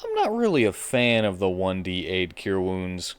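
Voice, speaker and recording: A man's voice: sits low, huge pitch swings, moderate pace, clear, resonant tone. A man running a tabletop role-playing game as game master, narrating the action in a playful way. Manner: acts out combat with playful sound effects. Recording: music playing behind the voice. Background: background music.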